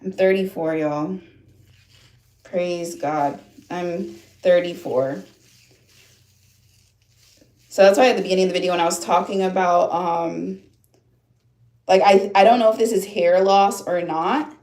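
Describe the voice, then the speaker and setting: A woman's voice: on the low side, fairly even intonation, a little slow, long pauses, a little mellow. A woman in her thirties from upstate New York, hosting a casual livestream and chatting with viewers in the comments, rambling with lots of fillers and restarts. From upstate New York, USA. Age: 30s. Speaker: livestream host. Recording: echoey room.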